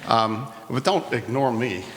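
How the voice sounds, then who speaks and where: A man's voice: mid-range voice, animated, a little slow. A man moderating a live community workshop, chatty and joking, with many restarts. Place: community hall, live event. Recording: long hall reverb, faint noise.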